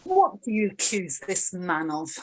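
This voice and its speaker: A woman's voice: fairly low pitch, sing-song, a little slow, a little mellow. A woman reading the part of an authoritative Roman governor, pointed and questioning, in a dramatized Bible reading. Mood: demanding.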